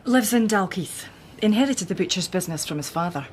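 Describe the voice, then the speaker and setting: An actress playing a keen, polite young detective constable in a radio drama. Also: female voice, fairly low pitch, animated, fairly quick, slight background noise, lightly acted. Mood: polite, eager.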